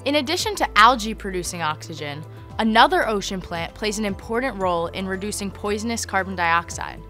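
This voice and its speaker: A woman's voice: mid-range voice, expressive, brisk, bright, forceful tone, soft background music. A teenage girl reporting in a news studio, reading scripted interview questions.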